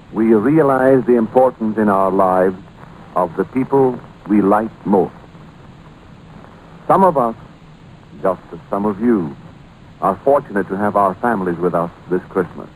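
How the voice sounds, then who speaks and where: A man giving a solemn, formal holiday address in a measured 1940s radio style. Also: on the low side, darkish tone. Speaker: radio speaker. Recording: noisy old 1940s radio recording.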